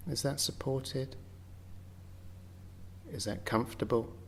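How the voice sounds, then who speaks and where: A man leading a guided yoga nidra meditation, speaking gently in short phrases with long, unhurried pauses. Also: medium pitch, gravelly, slight background noise. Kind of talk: guided meditation, slow spoken relaxation instruction.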